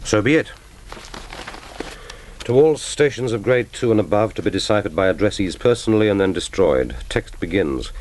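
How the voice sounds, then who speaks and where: A cool British secret agent, played by a man in a radio drama. The voice is deep, and the delivery is terse, formal and composed, as befits a naval commander. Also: animated, normal speed, darkish tone, slight background noise, natural voice. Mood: cool, reserved.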